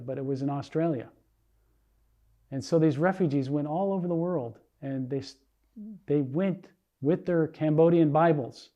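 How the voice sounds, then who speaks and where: Older American preacher, mellow lo-fi sound. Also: male voice, a little high. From United States. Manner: earnest storytelling with restarts. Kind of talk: sermon testimony.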